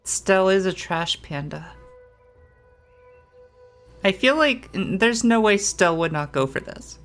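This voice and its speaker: A woman's voice: mid-range voice, animated. Chatty gamer woman, playful, takes her time, bit of music behind her. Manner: playful, chatty, halting asides. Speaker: gameplay streamer. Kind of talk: casual playthrough commentary with read-aloud game text.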